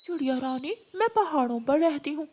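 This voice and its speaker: A woman's voice: high-pitched, animated, normal speed, background noise. A woman voicing an imposing, protective mother eagle in a cartoon, stern and emphatic. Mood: reassuring.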